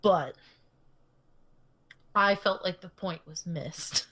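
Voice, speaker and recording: A woman's voice: on the low side, slow pace, a bit bright, slightly rough. Rambling young woman telling a story. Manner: rambling storytelling full of "like" and restarts, laughs often. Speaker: podcast co-host. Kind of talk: casual chat.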